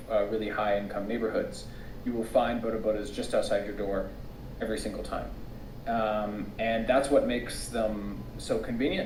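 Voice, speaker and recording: An American man who grew up in Tanzania, a researcher guest giving relaxed interview answers with frequent ums and restarts. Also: fairly low pitch, a little hiss or hum. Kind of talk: casual conversational interview answers. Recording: distant, echoey remote recording.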